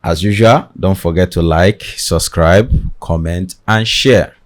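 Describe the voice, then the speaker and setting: Nigerian man with a very low, deep voice, who hosts a podcast from a studio. He speaks in a formal, measured presenter style, welcoming his guest and addressing the viewers. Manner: formal, deliberate presenter delivery. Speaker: podcast host. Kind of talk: podcast interview.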